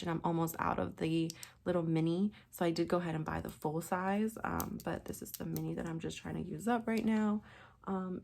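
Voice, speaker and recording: Young female beauty vlogger, raspy and a bit stuffy, low-ish gravelly voice, rambling casual delivery. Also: darkish tone. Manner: chatty, rambling with many fillers. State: raspy from a sinus infection. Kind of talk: casual makeup tutorial.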